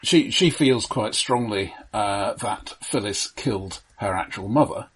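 A man co-hosting a podcast, discussing a film casually, halting and repeating his words. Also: lowish voice, a little hiss or hum, poor audio. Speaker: podcast co-host.

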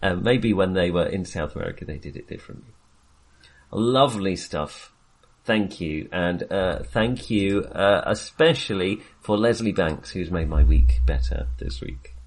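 A man's voice: low voice, unhurried. A man co-hosting a film podcast, chatting casually in a hesitant, wandering way with self-amused asides.

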